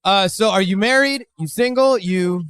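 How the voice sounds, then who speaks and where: A man's voice: high-pitched, normal speed, fairly bright tone. A man from Chicago hosting a live podcast at a bar, loose and profane as he interviews a guest. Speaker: podcast host. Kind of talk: casual live podcast chat.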